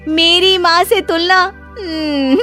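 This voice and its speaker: A woman's voice: extremely high pitch, fairly even intonation, takes it slowly, pushed and bright, faint music behind, full character voice. A woman playing a quarrelsome, boastful young daughter-in-law in a cartoon family drama, sarcastic and dramatic. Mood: boastful scorn.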